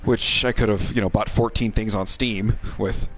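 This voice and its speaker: A man's voice: lowish voice, steady tone, normal speed, fairly bright tone. A middle-aged man, a game streamer and co-host, chats casually while playing, in a rambling, wry, self-deprecating way.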